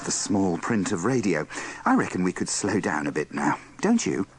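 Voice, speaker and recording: Deep male presenter, husky, animated intonation, dry wit, scripted links. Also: moderate pace, a little hiss or hum. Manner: wry, dryly teasing, rhetorical asides. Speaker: presenter of a radio bloopers compilation. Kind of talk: scripted wry linking narration.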